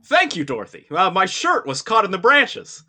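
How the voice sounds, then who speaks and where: A straw-stuffed scarecrow, played by a man, with a bright, forceful voice that runs fairly high. He reasons earnestly and matter-of-factly, as in an audio drama read. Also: wildly swooping pitch, normal speed, heavily acted. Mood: grateful.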